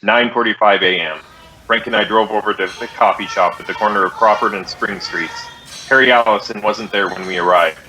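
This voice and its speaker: A man's voice: fairly low pitch, fairly quick, music in the background, lightly acted, poor audio. An American man playing a terse, businesslike police detective in a clipped, matter-of-fact 1950s radio drama style. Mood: flat, official. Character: terse, businesslike male police detective, narrating his case.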